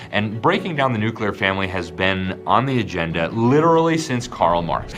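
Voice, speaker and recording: A man speaking firmly in a documentary interview, explaining his view. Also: low-pitched. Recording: music bed underneath.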